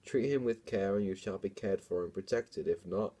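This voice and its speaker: A man's voice: low voice, moderate pace, warm tone. A man voicing an old aunt from a fantasy storybook, speaking in an ominous, dramatic way. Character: old aunt gravely explaining demon slaves and curses.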